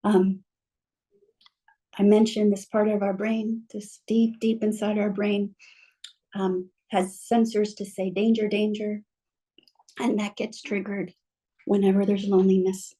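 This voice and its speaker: A woman giving a talk by video call, slowly guiding listeners through a calm, soothing reflection. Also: medium pitch, low-quality recording. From mother from Mexico, bilingual. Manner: gentle, slow, soothing guidance. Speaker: guest speaker.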